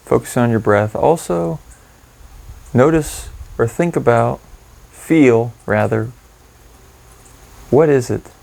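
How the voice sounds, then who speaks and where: A man leading a guided meditation in an informal, candid and gently instructive way. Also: medium pitch, vocal fry.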